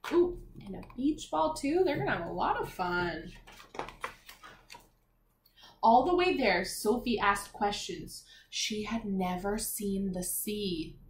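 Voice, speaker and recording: A woman teacher reading a children's picture book aloud, expressively, with encouraging asides and questions to young listeners. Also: medium pitch. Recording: echoey room with faint noise.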